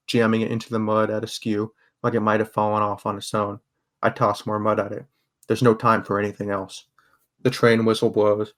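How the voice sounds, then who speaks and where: A young man playing a guarded, sardonic teenage boy who narrates a grim audio drama in a subdued, even voice. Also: lowish voice, natural voice. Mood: tense, subdued. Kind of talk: first-person scripted narration, audio drama.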